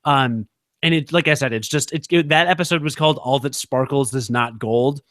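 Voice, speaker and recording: A man at medium pitch co-hosting a casual podcast. He rambles, circles back and restarts sentences, with plenty of fillers. His voice sounds dry and close to the mic, with no room around it. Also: normal speed, a bit bright, dry recording. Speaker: podcast co-host.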